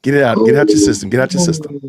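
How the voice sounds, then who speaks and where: A man hosting a casual livestream chat, excitable and loud in his reactions. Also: mid-range voice, very fast, heavy background noise. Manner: excitable, exclaims loudly. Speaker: livestream host.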